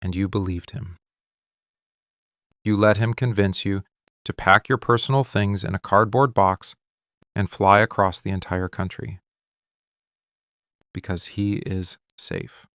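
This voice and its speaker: A man acting a possessive, wounded mafia boss in an intimate boyfriend ASMR roleplay, speaking in controlled, deliberate, intense phrases. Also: fairly low pitch, steady tone, fairly bright tone, no echo, clean studio sound. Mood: hurt, probing. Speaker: ASMR voice actor.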